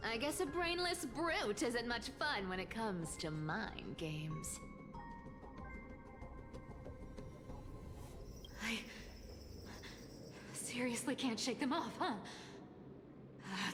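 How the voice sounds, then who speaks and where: High, swooping, mischievous cat-girl thief. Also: big pitch swings, unhurried. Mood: bored, resigned. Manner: teasing, mocking, theatrical with giggly bursts. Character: playful, taunting, sly female cat thief on the run. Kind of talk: video game voice acting. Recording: game music behind the voice.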